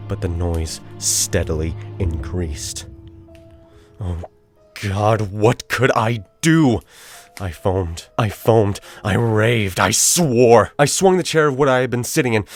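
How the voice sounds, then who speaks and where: A man voicing a guilt-crazed murderer in a theatrical, deliberate dramatic reading of a gothic horror story. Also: lowish voice. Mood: frantic dread. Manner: theatrical, deliberate phrasing with drawn-out words. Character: guilt-crazed murderer, feverishly confident, unraveling into frantic confession.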